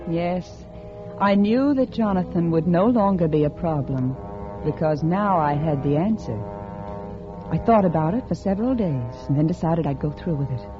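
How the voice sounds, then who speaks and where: Scheming wife in love with another man, played by a woman: low, earnest, dramatic, vintage radio sound, dull with music behind. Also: expressive, normal speed, close to an everyday voice. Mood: confiding, calculating. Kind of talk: mid-century radio drama acting, confessional narration and dialogue.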